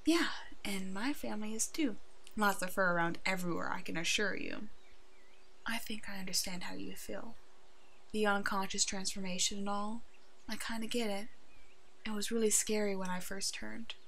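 A woman voicing a brave, protective werewolf in an ASMR roleplay, soothing and halting, with a soft, hushed delivery. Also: mid-range voice, creaky, slight background noise. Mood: friendly chatter.

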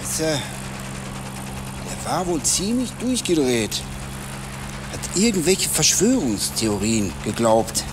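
An actor playing a chatty, self-satisfied local police detective in a naturalistic radio crime drama, talking colloquially. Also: male voice, fairly high pitch, sing-song, takes it slowly, pauses often, far from the mic, noisy background. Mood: weary.

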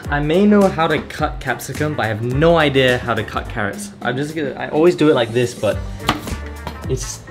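A man's voice: mid-range voice, lively intonation, fairly quick. A young man showing how to cook in a small home kitchen, explaining each step in a playful, joking way, filmed up close on a handheld camera. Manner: playful, jokey, explains steps to viewers. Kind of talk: casual cooking demonstration. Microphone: camera held by partner, close. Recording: music playing over the voice. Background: background music.

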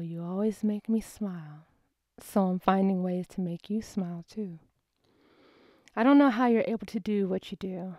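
A woman reading her own poetry as spoken word, intimate and expressive, with phrases dropping into breathy softness. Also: medium pitch, dry recording, studio quality. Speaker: poet reading own work.

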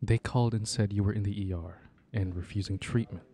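A man playing a worried, caring ex-boyfriend in an intimate audio roleplay, soft-spoken and hesitant, gently insistent, with halting pauses. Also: fairly low pitch, fairly even intonation, lightly acted. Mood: worried, gentle. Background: ambient sound effects.

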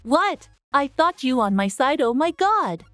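Woman doing bratty easily offended 16yo girl, online drama, high animated voice, music low behind. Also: unhurried, no room sound, full character voice. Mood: snide, offended. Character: snappy, scornful sixteen-year-old girlfriend, easily offended. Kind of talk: dramatised online roleplay story.

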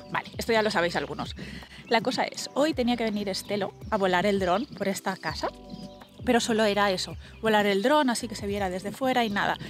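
Chatty woman vlogging outdoors. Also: mid-range voice. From Spain. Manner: chatty, rambling, many asides and restarts. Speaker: vlogger and presenter. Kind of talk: casual vlog house tour. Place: outdoors in a country garden. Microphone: small camera mic held at arm's length.

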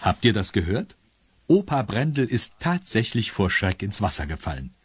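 A man's voice: on the low side, big pitch swings, moderate pace, very noisy. A man narrating a scripted children's story, confiding in his young listeners. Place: studio.

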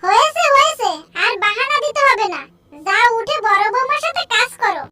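A woman's voice: very high voice, lively intonation, moderate pace, background noise. A woman voicing a scolding, bossy older mother-in-law in a cartoon, nagging sharply and threateningly. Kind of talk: cartoon dub acting.

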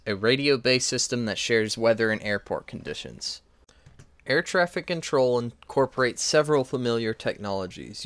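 A man's voice: mid-range voice, fairly bright tone, no echo. A young man reading a scripted lecture as a student presenter. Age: young adult.

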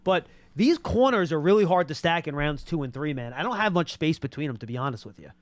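Male talk-show host for sports, quick and emphatic, casual analysis, very dry studio sound on a close mic. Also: a little high, expressive, no echo. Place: small podcast studio. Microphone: close broadcast mic on boom arm.

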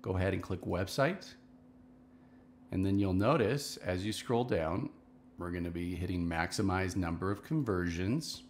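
A man in his thirties with a low-pitched voice. He narrates a screen-share tutorial casually, in clear instructional steps. He speaks into a desk microphone in a small home office. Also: normal speed, a little hiss or hum. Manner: instructional, step-by-step. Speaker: marketing tutorial host.

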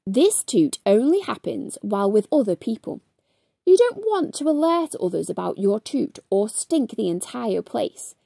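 A woman reading a storybook aloud to children, with theatrical, drawn-out emphasis on key words. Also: high voice, vocal fry, warm tone, no room sound, studio quality. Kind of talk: expressive read-aloud narration for children.

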